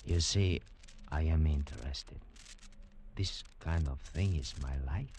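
An actor playing a sharp, courtly old male translator in a 1950s radio drama. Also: rumbling low, takes it slowly. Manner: polite, courtly and eager. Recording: old radio broadcast, faint hiss.

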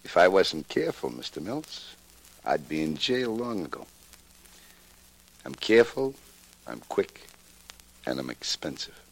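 A man's voice: low-pitched, very animated, measured pace, vocal fry, long pauses, heavily acted. A man playing a tough, self-assured hired gun, terse and blunt, in 1940s radio drama style. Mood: blunt, boastful. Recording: noisy old 1940s radio recording.

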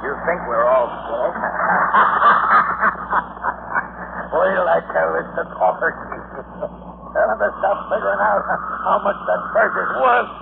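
Gruff, scoffing radio-play ship's captain, blustery and colloquial, high-pitched with swooping delivery; tinny, very noisy vintage recording. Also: male voice, exaggerated voice. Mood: mocking, amused. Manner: colloquial, blustery, plain-spoken. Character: gruff seasoned ship's captain, hearty, scoffing, plain-spoken. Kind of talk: 1940s radio drama acting.

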